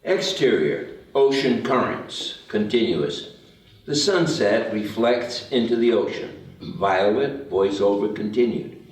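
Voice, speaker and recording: A man's voice: lowish voice, animated, slow pace. A man reading screenplay stage directions aloud as the action reader at a table read. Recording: very echoey room, distant microphone.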